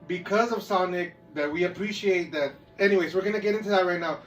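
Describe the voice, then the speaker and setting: Man, high-pitched voice, slangy hype-man podcast co-host, unscripted banter, set back from mic, music underneath. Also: quick pace, fairly bright tone. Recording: far from the mic, music behind.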